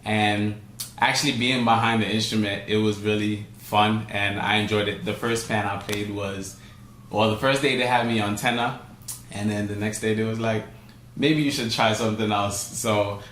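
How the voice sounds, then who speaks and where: A man's voice: lowish voice, steady tone, normal speed, fairly bright tone, a little hiss or hum. A young man from Trinidad answering interview questions, hesitant, with many fillers and restarts. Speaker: guest, steelpan arranger. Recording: echoey room, faint ambience.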